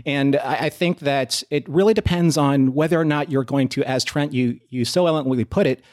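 A man speaking as a podcast panelist in a reflective discussion, earnest and philosophical, with measured phrasing. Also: medium pitch, darkish tone.

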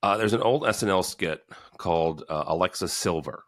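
A man's voice: deep voice, moderate pace, fairly bright tone, dry recording, clean studio sound. A man with a Midwestern American accent hosting a casual panel talk, rambling with many restarts and fillers. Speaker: fill-in podcast host.

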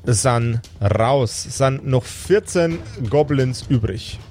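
A man improvising tabletop game narration as game master, with halting, deliberate phrasing. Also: medium pitch, lively intonation, a little mellow, faint music behind.

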